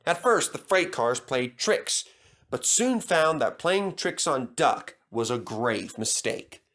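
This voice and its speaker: A man tells a children's story as scripted narration, taking his time. His pitch is moderately high for a man and moves expressively. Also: sing-song, slow pace, fairly bright tone.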